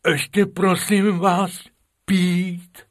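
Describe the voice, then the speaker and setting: A man voicing a pampered male elephant in a storybook reading, drawling slowly. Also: sits high, little pitch movement, pitched above the performer's own voice, lightly acted. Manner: slow, drawling.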